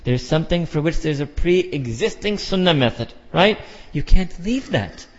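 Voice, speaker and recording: A fairly high-voiced man preaches with fervor, pressing his listeners with repeated questions. His voice rings out in a long, echoing hall. The sound is muffled and lo-fi. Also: expressive, moderate pace. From Pakistan or India. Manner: impassioned, rhetorical questions, mixes Urdu and Arabic phrases. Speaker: Islamic preacher. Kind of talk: sermon.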